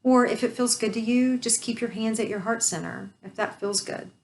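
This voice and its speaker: A woman leading a guided meditation, speaking softly and soothingly with long pauses. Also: a little high, a little hiss or hum.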